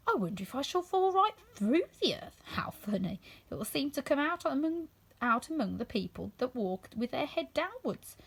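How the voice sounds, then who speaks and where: Curious storybook girl, read by a woman: chatty, wondering, high-pitched, lively swings. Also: moderate pace, gravelly, husky, exaggerated voice. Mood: musing. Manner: wondering, self-questioning. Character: curious, chatty young girl musing to herself.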